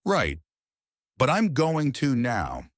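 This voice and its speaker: A man's voice: mid-range voice, wildly swooping pitch, slow, no echo, clean studio sound, pitched above the performer's own voice. A man voicing a fat, exhausted ship's doctor in a pulp story reading, weary and apologetic.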